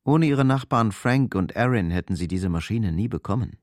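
An actor as the grave narrator of a crime thriller radio drama, telling it in short, scripted present-tense sentences. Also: male voice, on the low side, animated, normal speed, dry recording, clean studio sound, natural voice. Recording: music behind the voice.

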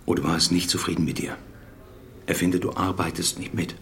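An actor playing a brisk, paternal middle-aged doctor in a radio drama, gravelly and admonishing as he explains things to a patient. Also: low-pitched, little pitch movement, a bit bright, a little hiss or hum.

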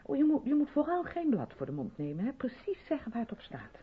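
Radio drama, female role: strict, insistent rehearsal leader, persuasive and pointed, very expressive intonation, muffled vintage sound. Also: high voice, brisk, breathy edge, full character voice. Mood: eager, pleading. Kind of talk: 1970s Dutch radio drama acting.

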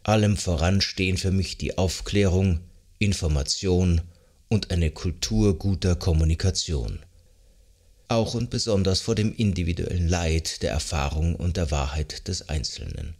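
Low-pitched man narrating a nonfiction audiobook. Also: measured pace, darkish tone. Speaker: audiobook narrator of a psychology self-help book.

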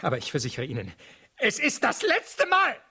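Harried, irritable writer, swooping pitch. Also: male voice, huge pitch swings, sharp tone, husky, soft background music, full character voice. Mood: indignant. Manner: exasperated, blustering, halting when dictating. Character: harried, irritable male writer of pious saints' biographies. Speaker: actor in a radio play. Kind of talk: German radio drama acting.

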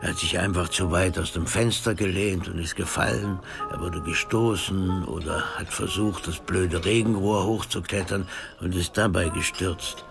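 A veteran jazz musician for radio, easygoing and wry. The voice is low and gravelly with slangy, casual phrasing, over clear music. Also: male voice, steady tone, moderate pace. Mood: reflective. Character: easygoing veteran male jazz musician, long settled abroad. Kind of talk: radio drama dialogue.

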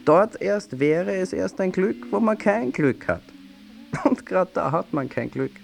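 Slow-speaking melancholy gentleman poet. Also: high voice, animated, gravelly, exaggerated voice. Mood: resigned, insistent. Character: melancholy, lovesick gentleman poet of old Vienna. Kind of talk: literary audio miniature, dramatic monologue.

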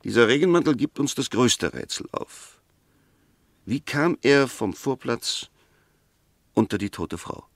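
An actor playing a sceptical, gently ironic defence lawyer in a 1960s German radio play, arguing in a measured, precise and pointed way. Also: male voice, medium pitch, lively intonation, creaky, dry recording, studio quality. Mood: reasoned, insistent. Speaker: defence counsel.

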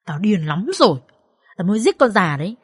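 A woman's voice: medium pitch, sing-song, a little fast, slightly rough, no room sound, clean studio sound. A fiery young woman acted out by a female storyteller speaks bluntly and emphatically. She is coarse and bossy but fiercely loyal, in the manner of audio-story drama. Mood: furious.

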